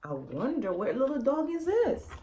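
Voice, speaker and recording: A woman's voice: sits high, wildly swooping pitch, moderate pace, dark tone, pitched above the performer's own voice. A woman voicing a worried father dog from a children's storybook, exclaiming and pleading as he searches for his pup. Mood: puzzled, concerned.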